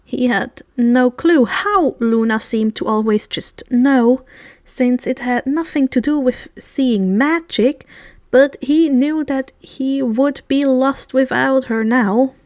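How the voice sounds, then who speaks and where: A woman's voice: high-pitched, slow pace, a little mellow, no room sound. A woman reading fan fiction aloud as an amateur narrator, in scripted storytelling style.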